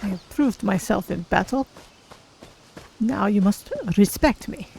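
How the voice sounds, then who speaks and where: A man voicing a proud, courteous cat-person game character with formal, measured wording while streaming. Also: sits high, gravelly, darkish tone, slight background noise. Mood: confident, curious. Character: proud, courteous Khajiit cat-person adventurer, third-person speech. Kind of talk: in-character game role-play commentary.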